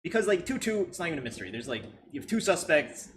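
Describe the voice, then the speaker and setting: A man's voice: a little high, sing-song, fast talker, a little hiss or hum. A man in a casual, opinionated discussion, chuckling often as he talks. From Philippines. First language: Filipino background, speaks with a non-Filipino accent. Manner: chuckles often while talking. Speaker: co-host of a ranking discussion. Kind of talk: casual chat, opinionated debate.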